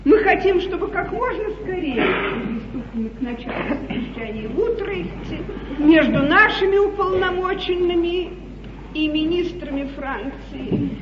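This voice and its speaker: A lovelorn queen, anxious yet commanding, in a high voice. She speaks in grand stage style, far from the microphone on a noisy, muffled old theatre recording. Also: animated, normal speed. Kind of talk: 1950s theatrical stage acting in a comedy. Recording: noisy, muffled 1950s theatre hall broadcast, distant.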